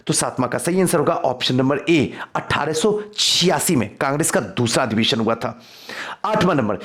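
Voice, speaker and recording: Male coaching teacher. Slightly high pitch with big swings, emphatic revision-quiz delivery addressed to students. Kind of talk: quiz-style lecture for exam revision.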